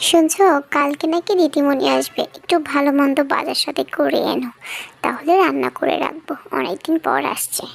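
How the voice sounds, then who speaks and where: A woman's voice: high-pitched, steady tone, fairly quick, gravelly, faint music behind. A woman voicing a dutiful, chirpy young daughter-in-law in a cartoon fairy tale. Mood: eager, obliging.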